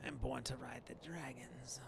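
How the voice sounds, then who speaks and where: A man playing a genteel, self-satisfied dark elf shaman in a game roleplay, musing haltingly in old-fashioned, formal words over a noisy background. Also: mid-range voice, fairly even intonation, vocal fry. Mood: reminiscing, wry. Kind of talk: voiced roleplay narration of gameplay.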